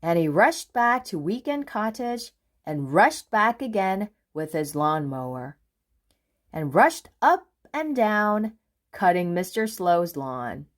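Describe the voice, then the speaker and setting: A woman reads a children's storybook aloud. Her pitch moves in very animated sweeps over an unhurried, measured pace, and she phrases things dramatically for young listeners. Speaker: children's book reader. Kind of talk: expressive storybook read-aloud.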